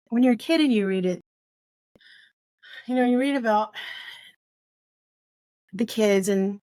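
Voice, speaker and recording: A woman's voice: medium pitch, a little mellow, no room sound, rough recording. A woman chatting casually about books as a co-host, rambling a little and thinking aloud as she goes. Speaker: book podcast co-host. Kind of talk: casual book discussion chat.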